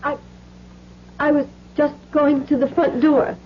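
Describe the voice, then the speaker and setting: Frightened high-voiced mother, radio thriller. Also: level tone, a little slow, a little hiss or hum. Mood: frightened, hesitant. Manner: hesitant, nervous. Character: frightened, cornered wife and mother, anxious to placate. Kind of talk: old-time radio thriller acting. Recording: dull vintage radio recording.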